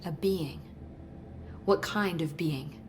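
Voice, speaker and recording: A woman's voice: low-pitched, slow, background noise. A woman playing an inquisitive, earnest government researcher in a video game, explaining things as she goes. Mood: curious, earnest. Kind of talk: video game cutscene acting.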